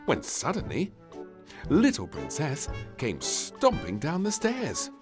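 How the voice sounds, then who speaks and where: A male storybook narrator reading for children. He takes his time, telling the story dramatically with huge swings of pitch. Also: wildly swooping pitch, slow, faint music behind.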